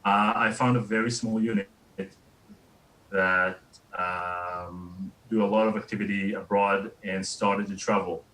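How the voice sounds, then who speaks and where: A man with an Israeli accent answering interview questions as a remote guest, speaking somewhat haltingly. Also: fairly low pitch, fairly even intonation, sharp tone, low-quality recording. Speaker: interview guest, industrial designer.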